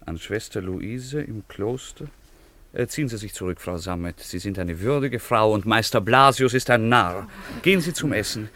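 Ein Schauspieler als leidenschaftlicher junger Edelmann, trocken aufgenommen. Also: male voice, fairly low pitch, moderate pace, fairly bright tone, no room sound, close to an everyday voice. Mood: commanding. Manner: declamatory, earnest. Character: proud, passionate young nobleman, lovestruck and commanding. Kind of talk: German radio play acting of a classical drama.

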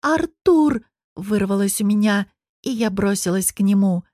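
A woman reading first-person fiction as an expressive audiobook narrator. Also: medium pitch, moderate pace, no room sound, studio quality.

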